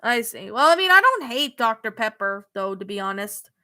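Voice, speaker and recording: A woman's voice: a little high, very animated, moderate pace, bright, forceful tone. A young woman with a Canadian accent, chatting casually on a live stream and answering viewers' comments with many ums and restarts. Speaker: streamer reading chat.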